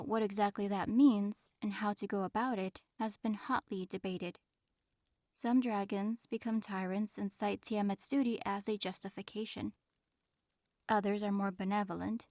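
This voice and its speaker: A woman playing an ancient, regal female dragon in human form, aloof and guarded, with formal, measured speech in an audio roleplay. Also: medium pitch, a little mellow, no room sound, clean studio sound, close to an everyday voice. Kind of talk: audio roleplay, in-character monologue addressed to listener.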